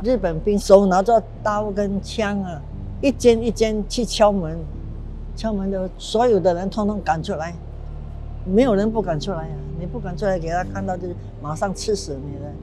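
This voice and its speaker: A woman in her eighties tells her story earnestly and with emotion. Her voice sits in a middle pitch. Music plays clearly beneath her speech. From Singapore. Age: 80s. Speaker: war survivor interviewee. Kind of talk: documentary interview. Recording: clear music bed underneath.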